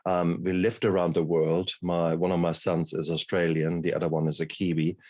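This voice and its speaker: A man's voice: low voice, level tone, normal speed, darkish tone, no room sound, clean studio sound. A man who grew up in Germany, hosting an interview in a casual, hesitant way and often repeating his words. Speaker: podcast host, doctor.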